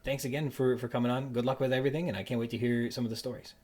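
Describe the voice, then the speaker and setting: Male podcast host, husky voice, mid pitch, casual interview chat, halting conversational phrasing. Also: level tone, darkish tone.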